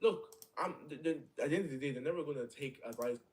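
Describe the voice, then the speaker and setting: A man's voice: fairly high pitch, fast talker. A man giving his opinions in a casual discussion, in a conversational way.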